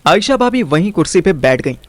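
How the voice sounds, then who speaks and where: A man's voice: fairly high pitch, very animated, a little fast, slight background noise. A man narrating an audio story in the first person, colloquial and dramatic, mixing in slang. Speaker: narrator and host.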